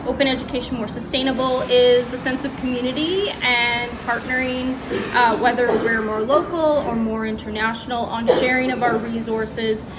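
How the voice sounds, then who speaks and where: A woman at a conference giving measured thoughts with "uh" pauses. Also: fairly high pitch, fairly even intonation. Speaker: conference attendee. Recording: loud background noise.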